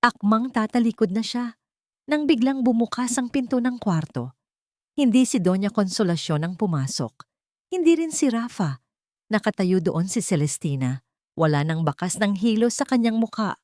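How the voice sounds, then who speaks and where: A woman's voice: medium pitch, expressive, moderate pace, mellow, no room sound, clean studio sound. A woman reading a scripted, dramatic story as its narrator.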